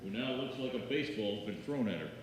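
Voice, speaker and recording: A man playing a sardonic, deadpan neighbor who delivers sarcastic wisecracks in live old-time radio comedy. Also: fairly low pitch, a little fast, close to an everyday voice. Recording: noisy, very echoey live hall.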